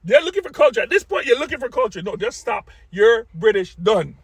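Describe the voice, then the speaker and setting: Teasing, fast-talking man from Saint Vincent. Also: high-pitched, sing-song, breathy edge, dry recording. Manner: teasing, insistent, repeats phrases rapidly. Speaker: co-host of couple's channel. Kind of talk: playful couple's debate.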